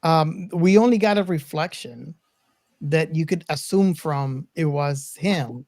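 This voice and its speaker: A man co-hosting a casual film discussion, reasoning aloud in a deliberate way. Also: a little high, darkish tone. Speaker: podcast co-host.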